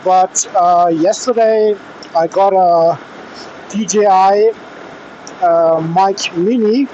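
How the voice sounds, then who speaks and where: Slow, halting man with a higher-pitched voice. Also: dark tone, dull audio. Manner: hesitant, halting delivery with pauses. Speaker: motorcycle vlogger testing gear. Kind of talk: casual vlog talk while riding. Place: outdoors, riding a motorcycle in traffic. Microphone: helmet intercom microphone. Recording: helmet intercom with faint vehicle and air noise.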